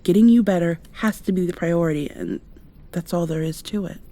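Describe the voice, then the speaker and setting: A woman playing a devoted, worried partner of a sick loved one, speaking tenderly and haltingly to the listener in an intimate ASMR roleplay. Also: lowish voice, warm tone, lightly acted. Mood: tender, firm. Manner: tender, soothing, halting and reassuring.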